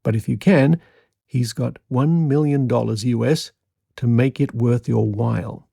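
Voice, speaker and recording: A man's voice: medium pitch, steady tone, a little slow, creaky, mellow, dry recording. A man hosting a podcast, reading out old newspaper clippings with dry, wry asides. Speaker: podcast host.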